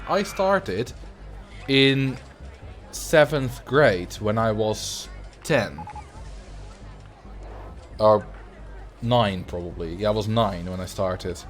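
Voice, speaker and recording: A young man with a Dutch accent talks in an easy, rambling way as he streams a park-building game, answering chat with plenty of ums. His voice sits at an average pitch, with soft music running faintly behind him. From Netherlands. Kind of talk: casual livestream chat while building a theme park game.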